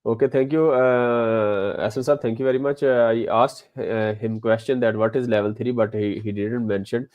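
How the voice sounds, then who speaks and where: A man's voice: mid-range voice, fairly even intonation, normal speed, hardly any pauses, no echo, muffled recording. Polite male interview host. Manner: polite, hesitant with fillers. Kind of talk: interview hosting.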